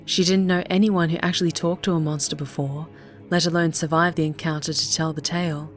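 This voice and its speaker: A woman narrating an audiobook story in a measured, dramatic storytelling manner. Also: sits low, fairly even intonation. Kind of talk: scripted dramatic fiction narration. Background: music.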